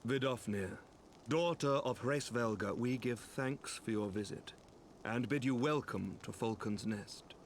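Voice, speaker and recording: An actor playing a noble, solemn leader of knights in a fantasy game, speaking formal archaic lines in a measured, earnest way. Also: male voice, mid-range voice, slight background noise, lightly acted. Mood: formal welcome.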